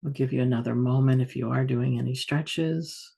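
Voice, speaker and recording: A woman meditation teacher guiding a yoga nidra practice in a soft, soothing, unhurried manner with long pauses. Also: extremely low pitch, steady tone, vocal fry, slightly rough.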